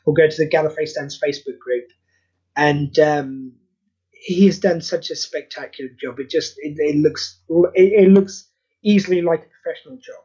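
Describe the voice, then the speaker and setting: A man's voice: on the high side, normal speed, mellow, breathy edge, dull audio. A man hosting a podcast, chatting casually and self-deprecatingly, rambling with many restarts. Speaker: podcast host and audio play creator.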